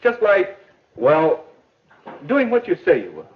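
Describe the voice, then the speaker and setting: A patient father gently explains things to his son, his husky voice rising and falling in lively swings. The manner is reassuring and a little lecturing. The sound is dull and muffled, like an old film soundtrack. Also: fairly high pitch, slow, heavily acted.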